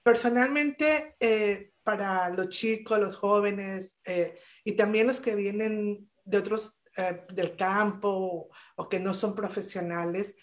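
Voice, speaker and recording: A woman's voice: mid-range voice, steady tone, slow pace, hardly any pauses. Earnest woman on a video call. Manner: earnest, emphatic, many hesitations. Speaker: guest speaker. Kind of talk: motivational talk on a podcast panel.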